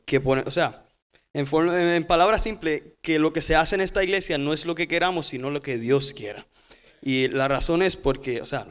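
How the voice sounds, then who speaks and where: A man with a Puerto Rican accent explaining things casually as a podcast guest. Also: medium pitch. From Puerto Rico. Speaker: podcast guest, pastor's son.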